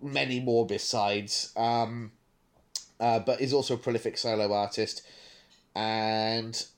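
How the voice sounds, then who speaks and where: A man's voice: on the low side, steady tone, slow pace. Man thinking aloud in casual chat. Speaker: podcast co-host.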